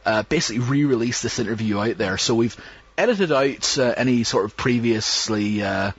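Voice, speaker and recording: A man's voice: medium pitch, moderate pace, breathy edge. A man hosting a podcast, introducing an interview in a loose, rambling way. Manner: rambling, with many restarts and fillers. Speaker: podcast host. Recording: dull sound.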